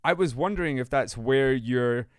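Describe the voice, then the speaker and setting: A man's voice: mid-range voice, normal speed, no echo, studio quality. A man speaking as a podcast host, moving between introduction and interview talk. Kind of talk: scripted intro and casual interview chat.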